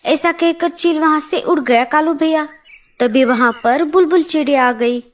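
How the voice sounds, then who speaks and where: Woman as a high-voiced little mother bird, anxious, brave, earnest, children's cartoon. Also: normal speed, close to an everyday voice. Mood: earnest, grave. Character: worried, brave mother sparrow. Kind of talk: Hindi children's cartoon story voice acting.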